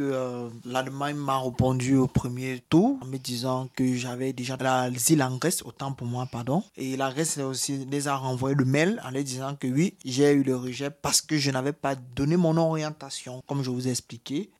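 A man's voice: mid-range voice, darkish tone. A man from Cameroon with a Cameroonian French accent, giving a personal testimony in an interview, hesitant with many fillers and restarts. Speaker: interviewee, asylum seeker.

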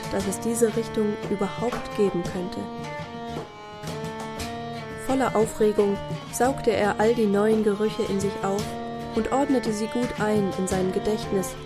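Woman storyteller over guitar music. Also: medium pitch. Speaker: narrator. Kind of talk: scripted storytelling for a children's radio play.

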